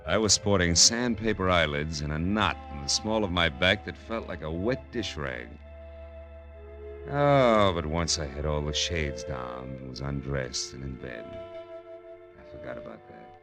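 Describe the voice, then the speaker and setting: An actor playing a wry, world-weary private detective in a 1940s radio noir drama, with clipped, slangy delivery. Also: male voice, extremely low pitch, lively intonation, unhurried, music in the background, heavily acted. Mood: weary, dreamy. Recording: old-time radio drama recording.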